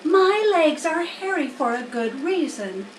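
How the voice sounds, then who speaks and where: A woman's voice: high-pitched, very animated, unhurried, voice pushed up. A woman voicing a wise, matter-of-fact female spider in a storybook, patiently instructive and a little wry. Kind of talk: storybook character voice. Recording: roomy, distant mic, faint noise.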